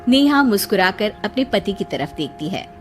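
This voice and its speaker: A woman reading scripted narration as the storyteller of an animated moral tale. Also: mid-range voice, normal speed, soft background music.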